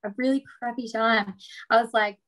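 A woman's voice: fairly high pitch, moderate pace. Easygoing Australian woman, lots of "like". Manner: relaxed, rambling, heavy on "like". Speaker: boxer, guest. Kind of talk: casual interview chat.

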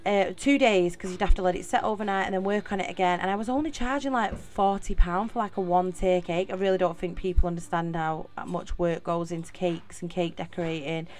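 A woman's voice: lowish voice, steady tone, brisk, hardly any pauses, a little mellow, faint music behind. A young woman with a Mancunian accent hosting her own podcast, talking in a chatty, rambling way with many fillers and restarts. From Manchester, England. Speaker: podcast host. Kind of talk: casual solo podcast monologue.

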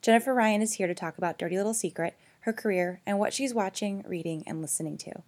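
A woman with a medium-pitched voice works as a podcast host. She speaks in a conversational interview style. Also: fast talker, a little mellow.

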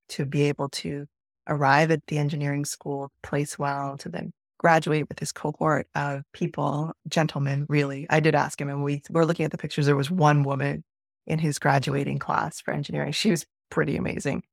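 A woman's voice: deep voice, level tone, normal speed, gravelly, no echo, clean studio sound. A middle-aged woman from Peru, a podcast guest, telling a thoughtful personal story with frequent restarts. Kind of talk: reflective conversational storytelling.

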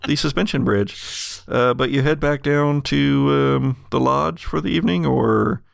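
Gravelly, halting man narrating a game. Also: mid-range voice, no echo, clean studio sound. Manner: halting, pausing to find words. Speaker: game master. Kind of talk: improvised tabletop game narration.

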